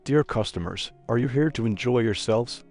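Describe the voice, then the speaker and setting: A man's voice: medium pitch, normal speed, a little mellow, natural voice. A man playing an eager, obsequious inn page in a dubbed comic drama. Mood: ingratiating. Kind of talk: dubbed comic-recap character dialogue. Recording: voice over background music.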